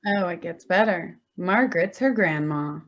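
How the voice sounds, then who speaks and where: Bitter adult daughter played by an actress: female, lowish voice, combative sarcasm, stage drama, slightly dull audio. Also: expressive, normal speed, close to an everyday voice. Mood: bitter revelation. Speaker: actor in a staged reading. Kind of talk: staged play reading.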